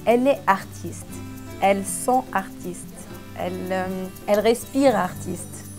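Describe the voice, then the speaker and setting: A woman speaking slowly and deliberately about an artist in an interview, with halting pauses. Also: medium pitch, over loud music.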